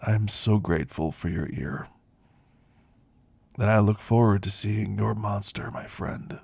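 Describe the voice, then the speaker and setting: A man playing a weary, wistful elderly werewolf who confides in slow, reflective ASMR roleplay storytelling, gravelly and husky. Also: on the low side, darkish tone, dry recording, full character voice. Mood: weary, grateful. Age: older.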